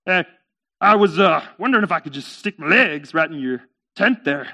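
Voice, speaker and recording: A man's voice: a little high, lively intonation, normal speed, sharp tone, heavily acted. A man voicing a laid-back, cheeky talking camel in a comic story, wheedling in a breezy, folksy way. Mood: pleading.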